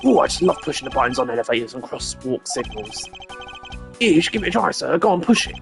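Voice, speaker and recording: Eager dim detective sidekick played by a man, gravelly, quick, excitable and folksy, video game part. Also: mid-range voice, music in the background. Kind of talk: amateur video game dialogue voicing.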